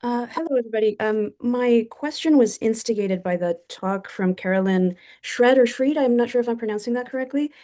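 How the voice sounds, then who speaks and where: A woman's voice: fairly high pitch, brisk, hardly any pauses, warm tone. A woman community college teacher joining by video call, carefully reading a question from her notes.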